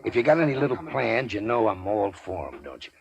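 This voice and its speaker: Gravelly, wary gangster sidekick. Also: male voice, fairly low pitch, big pitch swings, a little fast. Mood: quietly menacing. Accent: American. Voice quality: gravelly, husky. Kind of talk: 1940s radio crime drama acting.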